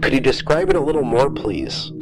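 An actor playing a calm, formal interviewer debriefing a soldier in an audio drama. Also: male voice, mid-range voice, close to an everyday voice. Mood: calm, clinical. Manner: measured, formal, probing. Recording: voice over background music.

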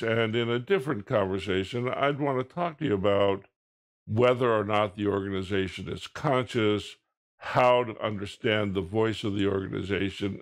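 Gravelly older man presenting, measured delivery. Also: lowish voice. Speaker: keynote speaker. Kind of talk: prepared presentation to camera. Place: indoors, recorded video presentation.

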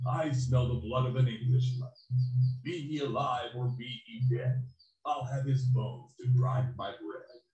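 A man voicing a huge, hungry, bossy man-eating ogre in a children's fairy tale, with drawn-out menacing chants. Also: medium pitch, a little mellow, distant mic, natural voice. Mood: gruff, demanding. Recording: dull roomy recording, faint music.

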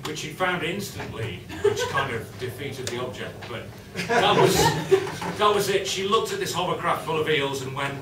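A man's voice: a little high, animated, normal speed. A man improvising a comic story live on a small stage. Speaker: improv comedy performer. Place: small comedy club stage. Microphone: distant camera. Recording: echoey, noisy room recorded from far off.

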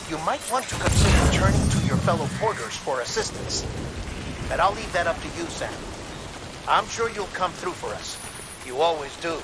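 Actor as a higher-voiced earnest male colleague, noisy backdrop. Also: close to an everyday voice. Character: earnest, encouraging male outpost colleague giving briefings. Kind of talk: video game acting, radio briefing. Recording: clear background rain and ambience.

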